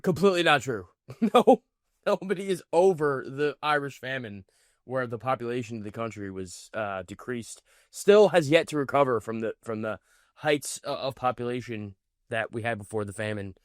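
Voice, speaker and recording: A man's voice: medium pitch, moderate pace, no echo, studio quality. A man hosting a political reaction video, commenting in an indignant, mocking way. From United States. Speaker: commentary host.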